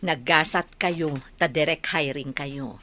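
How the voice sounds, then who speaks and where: Bright, low-pitched mother. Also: takes it slowly, exaggerated voice. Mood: interested. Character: mother chatting with her grown daughter. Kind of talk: Filipino radio drama acting.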